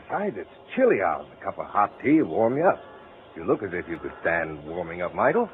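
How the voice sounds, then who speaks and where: Kindly old reverend, strongly swooping intonation. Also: male voice, deep voice, big pitch swings, brisk, phone-quality sound. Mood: friendly, coaxing. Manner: fatherly, pleading, proper diction. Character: kindly, earnest, older male reverend, gently insistent. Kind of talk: 1940s radio drama acting. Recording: thin, slightly noisy old radio recording.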